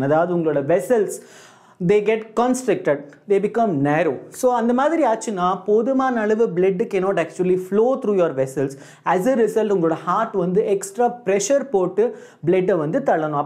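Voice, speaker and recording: Male South Indian educator, high-speed explainer, animated and questioning, mixing Tamil into English. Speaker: chemistry teacher. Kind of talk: explanatory lesson switching between English and Tamil. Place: indoor studio. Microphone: lapel mic.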